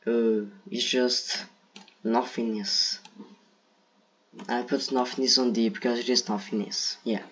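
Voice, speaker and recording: A man's voice: mid-range voice, little pitch movement, a little slow, long pauses, a bit bright, breathy edge. A man from Brazil with a Brazilian accent, speaking English as a second language, casually ranking game characters while thinking aloud. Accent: Brazilian Portuguese. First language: Portuguese. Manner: hesitant, thinking aloud with fillers. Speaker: gaming video creator. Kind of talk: casual tier list commentary. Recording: dull lo-fi mic.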